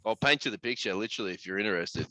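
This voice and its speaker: Bright-voiced, low-pitched Australian man. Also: lively intonation, racing, rough recording. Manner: blokey, profane, slangy. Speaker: podcast host. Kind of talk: casual podcast chat.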